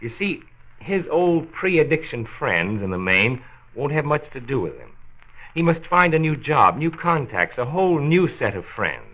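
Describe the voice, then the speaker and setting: An actor playing a reassuring, earnest county doctor with a measured manner, in a mid-century radio drama. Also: male voice, medium pitch, very animated. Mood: reassuring, serious. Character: reassuring, civic-minded male county doctor, a measured persuader. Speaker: health commissioner. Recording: tinny phone-like old radio recording.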